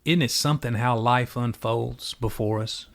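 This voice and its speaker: A man's voice: mid-range voice, moderate pace, gravelly. A man hosting a podcast, encouraging and folksy as he draws out his guests. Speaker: podcast host. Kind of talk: casual podcast interview.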